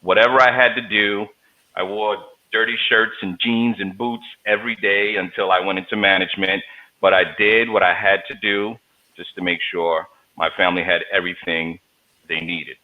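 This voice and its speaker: A man's voice: on the low side, steady tone, normal speed, narrow, tinny audio. A man, a guest in an interview, telling stories in a joking, conversational way over a video call. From New York, USA. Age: 40s. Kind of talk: casual interview talk.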